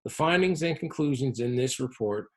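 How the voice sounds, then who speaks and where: A man giving an educational presentation as a public health presenter, explaining things in a measured way. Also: medium pitch, animated, a little mellow. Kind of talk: educational presentation on health-focused game design.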